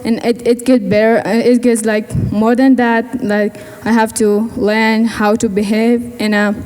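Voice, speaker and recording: A teenage girl speaks in a fairly high, mellow voice, halting as she searches for English words. Her speech rings out with a long reverb from a large hall. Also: little pitch movement. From Afghanistan. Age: teens. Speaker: student speaker. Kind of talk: personal speech at a gala.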